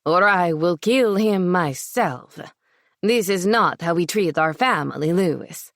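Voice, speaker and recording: A woman's voice: on the low side, lively intonation, fairly bright tone, no room sound, studio quality. Woman doing a stern old Italian patriarch. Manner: stern, measured, commanding. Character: older Italian man, stern crime family head.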